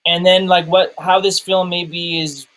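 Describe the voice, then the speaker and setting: Man, fairly high voice, casual discussion, searching phrasing, podcast co-host. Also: level tone, measured pace, a bit bright, rough recording. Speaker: film review podcast co-host.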